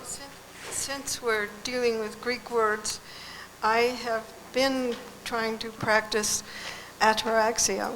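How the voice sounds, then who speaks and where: A hoarse woman from the audience, losing her voice, telling a personal story in a halting, rambling way. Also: fairly high pitch, noisy background. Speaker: audience member. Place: conference hall.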